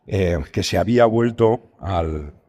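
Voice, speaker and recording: A deep-voiced man with a Spanish accent from Spain talks as a guest in a casual interview. He rambles as he explains things and pokes fun at himself. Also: takes it slowly, mellow. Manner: rambling, self-deprecating. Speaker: podcast guest, writer and creator of audio fiction.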